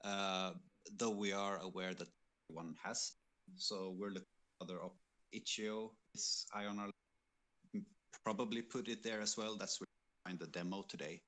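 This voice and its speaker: A man with a deep yet bright, forceful voice. He explains things informally, as a game team member fielding a question on a livestream. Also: fairly even intonation, moderate pace. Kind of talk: casual explanation.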